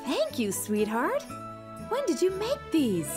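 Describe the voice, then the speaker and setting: A woman playing a cheerful, affectionate young mother in a preschool cartoon, expressive and gently encouraging. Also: very high voice, slow, full character voice. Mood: delighted, affectionate. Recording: faint music behind.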